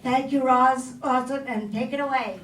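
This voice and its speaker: A woman giving formal opening remarks at an event in a deliberate, measured way, reading out acknowledgements to an audience. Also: high voice, steady tone. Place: indoor event room with an audience. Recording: echoey hall, distant mic, loud crowd noise.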